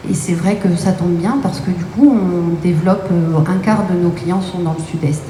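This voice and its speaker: A woman with a low, mellow voice explains her business at length, pausing often on fillers. The sound is roomy, with a long reverb and faint noise behind her. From Brittany, France. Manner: explanatory, many hesitation fillers. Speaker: guest, company co-owner. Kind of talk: radio interview answers. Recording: echoey room with faint noise.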